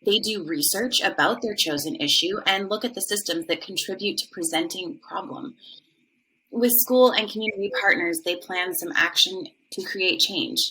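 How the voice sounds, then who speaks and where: Bright-voiced earnest woman teacher, played by an actress. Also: medium pitch, normal speed, natural voice, poor audio. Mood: earnest, proud. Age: middle-aged. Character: earnest, proud high school social studies department chair defending her program. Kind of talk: dramatized monologue.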